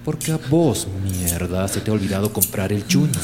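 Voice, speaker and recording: A male actor plays a gluttonous, foul-mouthed Bolivian butcher who runs a gang in a radio play. His voice is low and he threatens with deliberate questions. Hum and dish clatter are clear behind him. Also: expressive, lightly acted. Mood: menacing, aggrieved. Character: menacing crime-boss butcher, gluttonous, foul-mouthed man. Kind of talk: radio drama acting. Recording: clearly noisy background hum and clatter of dishes.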